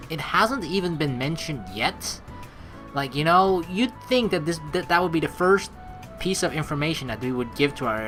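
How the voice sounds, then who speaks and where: Bright, expressive game commentator. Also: medium pitch. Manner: expressive, chatty, swears casually. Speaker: let's play host. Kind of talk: casual game commentary mixed with reading narration aloud. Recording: game music under the voice. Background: game music.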